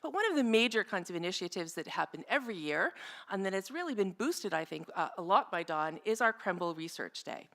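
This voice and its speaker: A slightly hoarse woman giving an informal tribute speech at an academic event, chatty, with many fillers and asides. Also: mid-range voice, lively intonation, fairly quick, fairly bright tone. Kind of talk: informal presentation speech with slides.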